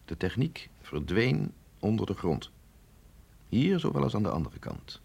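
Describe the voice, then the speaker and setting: Radio play scientist, middle-aged sceptic with a husky voice, lively pitch swings, measured and insistent. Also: male voice, heavily acted. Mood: authoritative, explaining. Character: sceptical, authoritative male scientist briefing an agent, no longer young. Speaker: scientist doctor. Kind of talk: radio drama acting.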